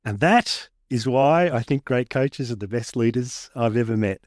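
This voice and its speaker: A man's voice: medium pitch, expressive, normal speed, no room sound. A man hosting a podcast, wrapping up an interview and thanking his guest in a polished way. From Australia. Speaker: podcast host. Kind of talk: interview wrap-up and outro. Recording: dry close mic, faint music behind.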